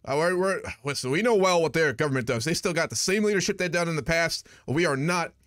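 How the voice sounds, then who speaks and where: A man's voice: fairly high pitch, quick pace, a bit bright, no room sound, studio quality. A young man streaming a multiplayer strategy game, roleplaying a head of state with blustery, emphatic declarations over a voice call from a small room at home.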